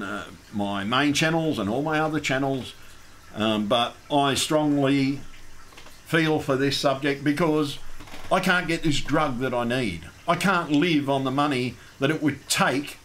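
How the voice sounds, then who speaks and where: A man's voice: medium pitch, fairly bright tone, slight background noise. Rambling Australian man hosting a call-in. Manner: rambling, chatty, frequent ums and restarts. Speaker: call-in show host. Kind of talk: casual call-in chat.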